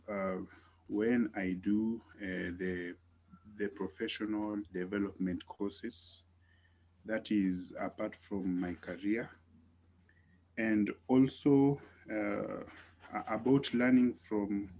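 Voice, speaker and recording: A man from Kenya, a webinar participant speaking in a measured, deliberate way. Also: fairly low pitch, mellow. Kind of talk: spontaneous remarks in a webinar. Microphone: video call.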